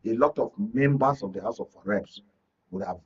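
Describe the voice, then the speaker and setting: A man's voice: mid-range voice, moderate pace, slightly rough. Distant-sounding Nigerian man, emphatic political analyst. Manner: emphatic, repetitive, rhetorical. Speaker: media analyst, studio guest. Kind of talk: political commentary in discussion. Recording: dull lo-fi audio, distant mic.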